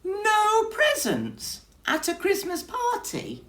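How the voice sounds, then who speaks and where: A woman voicing a small, eager, cheeky male mouse with squeaky exclamations in a children's storybook reading. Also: wildly swooping pitch, takes it slowly, fairly bright tone, exaggerated voice. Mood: eager, astonished. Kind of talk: children's storybook read-aloud.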